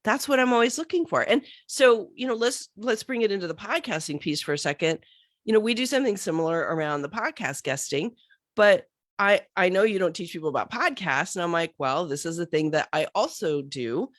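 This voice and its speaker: A middle-aged woman with a cold, hoarse and a bit scratchy, chatting animatedly as a podcast guest with many fillers. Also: fairly low pitch, brisk, no room sound, studio quality. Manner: animated, thinks aloud with many fillers and restarts. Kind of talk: casual interview chat.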